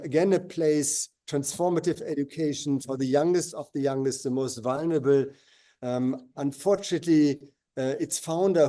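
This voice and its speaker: Host, male, earnest, halting, mellow and dark tone, higher-pitched. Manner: earnest, halting with fillers and restarts. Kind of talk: moderating a live video call. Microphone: video call.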